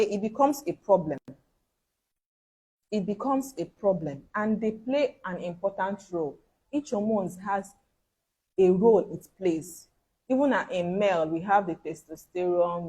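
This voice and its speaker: A woman's voice: medium pitch, darkish tone, slightly rough, low-quality recording. A woman giving an instructional health talk on fertility, repeating key points and checking in with listeners.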